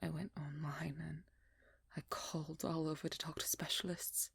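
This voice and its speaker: A woman playing a guarded, protective young vampire hunter in a hushed, breathy ASMR roleplay, speaking slowly with halting pauses close to the mic. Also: low voice, flat delivery, no echo, full character voice. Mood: wistful, pained. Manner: slow, halting, intimate delivery with pauses. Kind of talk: whispered ASMR roleplay, dramatic monologue. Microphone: close microphone, dry.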